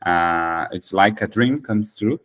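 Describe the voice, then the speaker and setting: A man's voice: on the low side, animated, slow pace, a bit bright. A Brazilian man whose first language is Portuguese, hosting an English practice chat and speaking hesitantly with many restarts. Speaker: host of an English practice meeting. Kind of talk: casual conversation practice.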